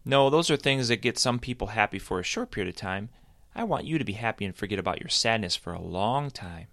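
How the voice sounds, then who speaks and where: A man's voice: fairly low pitch, animated, fairly quick, vocal fry, fairly bright tone, dry recording, studio quality. A man voicing a cheerful, always-happy male bunny who talks in an eager, encouraging way.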